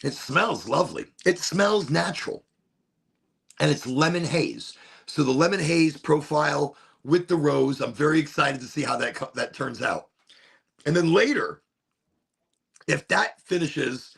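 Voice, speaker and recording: Man, livestream host, hoarse, gravelly, husky, upbeat rambling chat. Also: fairly high pitch, a bit bright. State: losing his voice.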